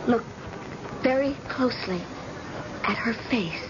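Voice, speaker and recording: An actress playing a grave, bitter, guarded grown daughter in old-time radio drama, speaking in a deliberate, solemn way. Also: female voice, on the high side. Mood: grave, insistent. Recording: dull, noisy old radio broadcast.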